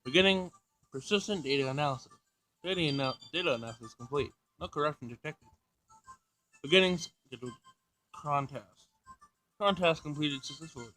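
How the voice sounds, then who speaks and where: Man as literal-minded robot, formal, precise, matter-of-fact, higher-pitched bright voice, visual novel game. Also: fairly quick, long pauses, soft background music, low-quality recording. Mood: systematic. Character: polite, literal-minded self-aware robot assistant. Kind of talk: visual novel character reading.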